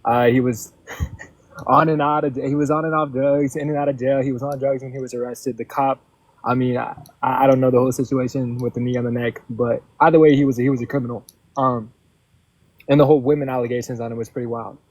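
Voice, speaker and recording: A man's voice: mid-range voice, little pitch movement, fairly quick, a little mellow, no echo, poor audio. A twenty-year-old man from Oregon calls into a live stream. He rambles casually and hedges his points. His voice comes through dry and close. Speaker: caller.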